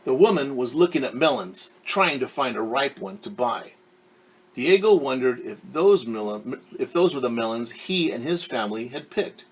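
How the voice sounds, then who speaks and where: Man, teacher, picture-book read-aloud, husky, lively pitch, explains words to children. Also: poor audio. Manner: pauses to explain words and quiz young listeners.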